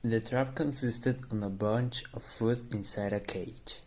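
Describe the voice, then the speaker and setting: A man's voice: on the low side, steady tone, unhurried, a little mellow. A man giving scripted narration for a radio drama as its narrator.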